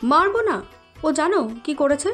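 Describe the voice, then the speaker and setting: High-pitched, swooping, irritable young woman, jealous, spiteful, scolding, audio story villainess acted out by a woman. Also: expressive, normal speed, a bit bright, faint music behind. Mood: angry, scolding. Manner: snappish, scolding.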